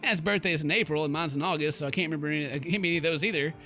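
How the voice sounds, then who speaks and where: A man's voice: a little high, rapid-fire, bright, forceful tone, soft background music. A man doing goofy, slangy let's play commentary, reading game text aloud with theatrical exclamations. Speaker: gaming YouTuber.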